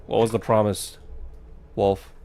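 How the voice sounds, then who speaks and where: A man doing casual live commentary as he plays a game, chatty and wry with halting reactions. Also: lowish voice, little pitch movement, vocal fry, darkish tone. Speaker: game streamer. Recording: faint game music behind.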